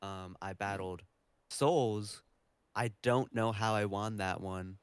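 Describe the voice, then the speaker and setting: Male interview guest, deep-leaning smooth voice, casual talk, dry recording. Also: slow, no echo. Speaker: podcast guest, competitive beatboxer.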